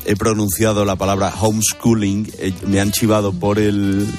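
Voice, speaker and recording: A man from Spain hosting a radio show, talking quickly and jokingly with callers and colleagues. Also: lowish voice, monotone, darkish tone, faint music behind. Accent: Spanish from Spain. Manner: fast, chatty, joking. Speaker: radio host. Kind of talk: lively radio talk with callers.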